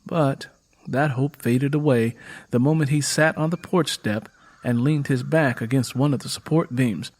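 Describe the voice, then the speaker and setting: A man's voice: medium pitch, normal speed. A man reading scripted story narration as the narrator of an audiobook.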